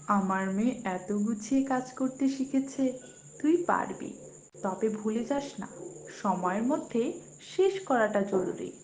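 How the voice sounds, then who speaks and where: A woman acts as a gentle mother of forty in a cartoon tale. She speaks slowly in a fairly high voice, tender and reassuring, with a touch of weariness. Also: expressive, slight background noise. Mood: proud, encouraging. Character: gentle, weary, loving mother of about forty.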